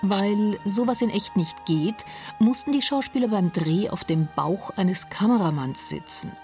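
A woman reading scripted narration for a radio documentary, measured and softly spoken, over a music bed. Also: mid-range voice, expressive.

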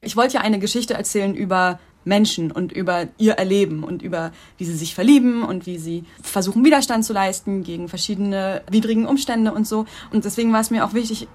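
A woman's voice: mid-range voice, darkish tone. A woman in her twenties, an author speaking off the cuff in an interview. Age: 20s. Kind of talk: spontaneous interview answers.

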